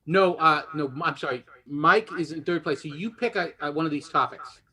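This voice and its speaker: Bright, high-voiced man hosting a game show. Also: moderate pace.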